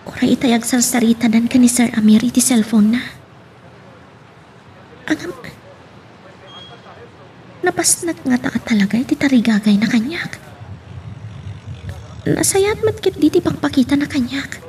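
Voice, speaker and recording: Actress for young Filipino romantic, inner-monologue radio delivery, high pitch, light background noise. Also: female voice, animated, moderate pace, pauses often, warm tone, lightly acted, rough recording. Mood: pensive.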